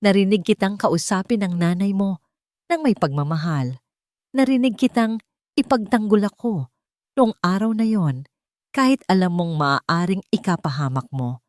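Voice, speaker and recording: A woman voicing an earnest, tender wealthy man in a romance audio story, speaking with slow, intimate emotion. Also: mid-range voice, lively intonation, darkish tone, no echo, clean studio sound, lightly acted. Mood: tender, sincere.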